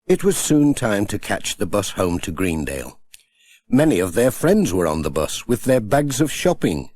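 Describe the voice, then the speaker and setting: A man's voice: fairly low pitch, sing-song, moderate pace, darkish tone. A man narrating a children's story as an audiobook reader.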